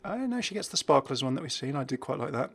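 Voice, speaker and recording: A man's voice: medium pitch, sing-song, fast talker, vocal fry, fairly bright tone, breathy edge. A man hosting a gaming video, giving casual commentary and musing aloud in a chatty way. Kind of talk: casual game update commentary.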